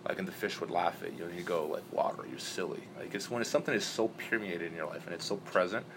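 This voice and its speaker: A man who grew up in Brazil, rambling in a relaxed interview with many restarts and fillers. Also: deep voice, fast, background noise. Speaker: interview guest. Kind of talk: casual interview talk.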